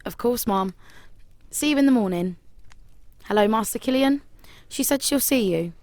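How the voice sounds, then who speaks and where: Lady doing a polite prim nanny, high voice, lots of up and down. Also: lively intonation, normal speed, darkish tone, no room sound. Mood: courteous. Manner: courteous, proper servant's speech. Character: polite, deferential woman, nanny to a rich household. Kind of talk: audio drama acting.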